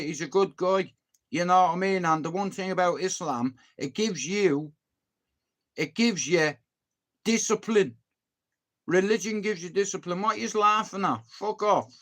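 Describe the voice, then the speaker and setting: Young Irish man, high-pitched, crude joking livestream host. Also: a bit bright. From Ireland. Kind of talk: casual chat with viewers.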